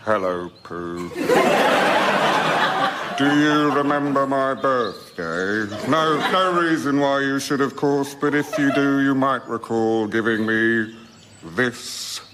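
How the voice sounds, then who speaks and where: A man voicing a gloomy, wounded old donkey, speaking in a deadpan, reproachful way in a storybook parody for radio. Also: medium pitch, noisy background, close to an everyday voice. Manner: glum, deliberate, deadpan.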